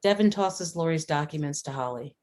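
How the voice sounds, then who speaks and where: Woman reading out screenplay directions, really low voice for a lady. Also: lively intonation, fairly quick, a little mellow. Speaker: stage directions narrator. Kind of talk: scripted screenplay narration.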